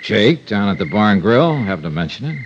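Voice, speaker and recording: An actor playing a confident, wry American insurance investigator in a 1950s radio detective drama, smooth and persistent. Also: male voice, fairly low pitch, very animated, brisk, a little hiss or hum. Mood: casual, needling. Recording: dull old radio recording.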